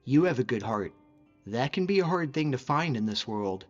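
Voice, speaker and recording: A man playing a friendly, modest lone-wolf hunter as a video game companion, speaking in an earnest, measured way. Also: mid-range voice, animated, lo-fi sound, faint music behind, lightly acted. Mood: touched, sincere.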